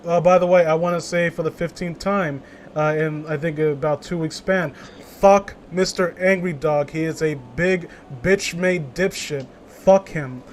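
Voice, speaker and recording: A man's voice: a little high, fairly even intonation, unhurried, slight background noise. A man chatting casually as a streamer, rambling and opinionated, with plenty of swearing. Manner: rambling, profanity-laden, opinionated. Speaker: streamer hosting a group story reading. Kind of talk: casual chat.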